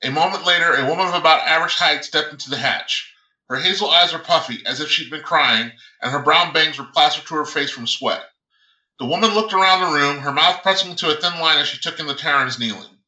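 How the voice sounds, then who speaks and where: A man's voice: a little high, a little fast, pushed and bright. A man, an author reading his own science fiction novel aloud as dramatic narration, stumbling over a word now and then. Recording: roomy, echoing sound.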